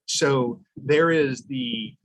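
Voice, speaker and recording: A man's voice: medium pitch, drawn-out words, rough recording. A man who leads a book discussion as a translator, speaking frankly and with emphasis. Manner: frank, emphatic, asks "okay?". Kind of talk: discussion talk.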